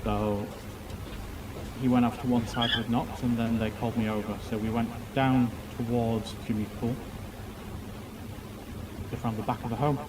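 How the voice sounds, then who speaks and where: A man speaks in a measured, hesitant way, explaining at length as if giving testimony in an online roleplay. His voice sits at a middle pitch, with clear vehicle noise behind it. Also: flat delivery. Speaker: streamer roleplaying a court witness.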